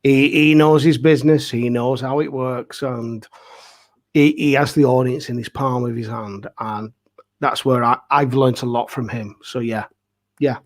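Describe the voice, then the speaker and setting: A man's voice: mid-range voice, fairly even intonation, measured pace. A man of forty-five speaking casually as a panel guest, earnest and emphatic, often repeating himself for stress. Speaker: pantomime dame and amateur theatre director.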